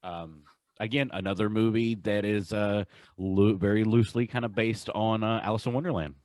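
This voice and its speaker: A man co-hosting a podcast in casual, enthusiastic chat, with a halting way of talking. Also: fairly low pitch, steady tone, a little mellow, dry recording. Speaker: podcast co-host. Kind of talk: casual chat, countdown commentary.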